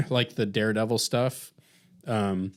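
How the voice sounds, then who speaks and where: A man co-hosting a podcast, giving his opinions casually in a halting, understated way. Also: on the low side, creaky, darkish tone. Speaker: podcast co-host. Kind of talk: casual TV review chat.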